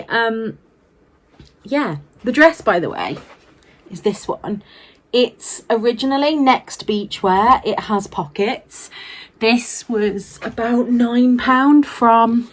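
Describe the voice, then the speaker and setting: A woman's voice: on the high side, slow. A middle-aged woman with a British accent chatting casually to camera as a vlogger, candid and self-deprecating. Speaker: book vlogger. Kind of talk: casual vlog chat to camera.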